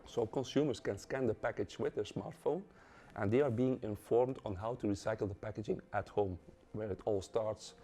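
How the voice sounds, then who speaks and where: A man, a company's head of innovation, calmly explaining to camera with frequent fillers. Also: lowish voice, moderate pace.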